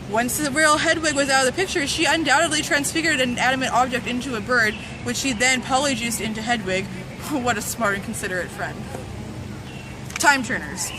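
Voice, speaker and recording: A woman giving a playful, mock-serious dramatic reading of a humorous essay. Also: sits high. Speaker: reader of a fan zine. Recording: noisy outdoor urban recording with traffic.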